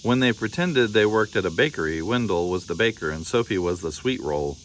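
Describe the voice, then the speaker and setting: Male storybook reader, lowish voice, expressive narration for children, faint ambient noise. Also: brisk.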